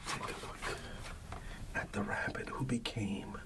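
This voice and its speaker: A man speaking softly and soothingly as a father at bedtime in an ASMR roleplay, often trailing toward a whisper, with a creaky voice. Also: fairly low pitch, a little slow. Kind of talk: soft ASMR bedtime storybook reading, talking to a child.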